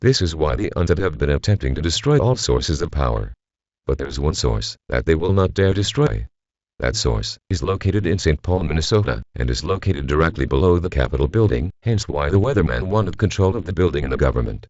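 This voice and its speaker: A man's voice: very deep voice, expressive, a little fast, a little mellow, no echo. A man playing a solemn vigilante who addresses the nation in a grave, formal broadcast speech. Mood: grave, resolute. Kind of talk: scripted emergency broadcast speech. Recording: dull, lo-fi broadcast sound.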